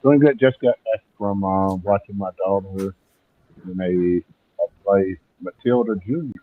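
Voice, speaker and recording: A man's voice: deep voice, measured pace, no room sound. A man from Alabama with a Southern US accent, chatting casually on a muffled, phone-like connection. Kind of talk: casual chat. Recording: muffled, tinny phone-like line.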